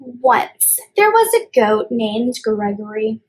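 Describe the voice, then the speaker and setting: A woman's voice: on the high side, big pitch swings, slow pace, darkish tone, rough recording. A woman leading a children's story time as a librarian, explaining things simply and stressing key words. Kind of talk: read-aloud storytime for young children.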